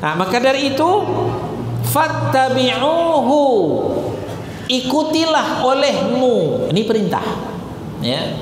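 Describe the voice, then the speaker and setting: Slow, high-voiced preacher in an echoing hall. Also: male voice, very animated. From Indonesia. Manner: rhetorical questions, wide swings in pitch and loudness; slow, drawn-out recitation of Arabic verses. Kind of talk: Ramadan sermon. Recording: echoey hall with loud room noise.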